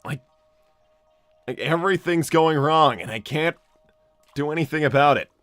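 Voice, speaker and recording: A man's voice: on the high side, moderate pace, fairly bright tone, dry recording, faint music behind, lightly acted. A man voicing an anxious, exasperated young cartoon hedgehog hero in an improvised livestream, stammering and repeating himself as he talks. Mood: exasperated, pleading. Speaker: live improv role-play host. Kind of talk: improvised in-character livestream, reading viewer messages.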